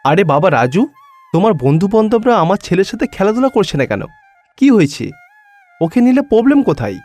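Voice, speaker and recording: A man's voice: high-pitched, very animated, a little fast, a little mellow, faint music behind, voice pushed up. A man voicing a concerned, indulgent father who questions emphatically in a cartoon story.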